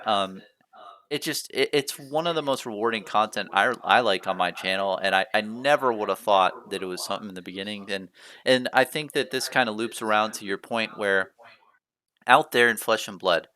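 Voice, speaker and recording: A man's voice: lowish voice, bright, forceful tone. A man hosting a casual talk show, chatting loosely with lots of "you know" fillers. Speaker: podcast host. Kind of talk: casual chat.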